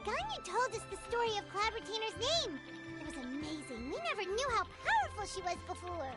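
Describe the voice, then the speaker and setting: A tiny floating fairy sidekick with a very high, bouncing, childlike voice that leaps all over the place. She is bubbly and cheeky, teasing the others in game dialogue, with soft game music playing behind her. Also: very animated, brisk. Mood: curious. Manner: bubbly, teasing, refers to herself by name. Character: small floating female fairy companion, chatty and cheeky.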